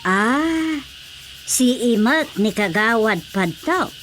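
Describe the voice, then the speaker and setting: A woman's voice: fairly high pitch, lively intonation, slow, a bit bright, a little hiss or hum. A Filipino woman playing an inquisitive, practical village wife in a comedic radio drama, speaking conversationally. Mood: curious.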